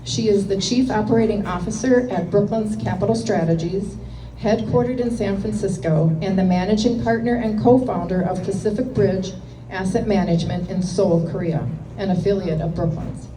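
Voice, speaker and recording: Woman host reading out a speaker intro, sounds far from the mic, room noise clearly there. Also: mid-range voice, reverberant. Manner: measured, reading a prepared introduction. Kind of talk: formal introduction read to an audience. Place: meeting room with an audience.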